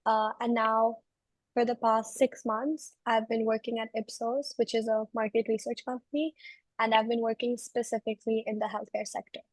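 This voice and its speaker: A woman's voice: a little high, little pitch movement, moderate pace, no echo, rough recording. A woman in her twenties from Belgium, explaining things conversationally as an interview guest. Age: 20s. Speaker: interview guest, research analyst.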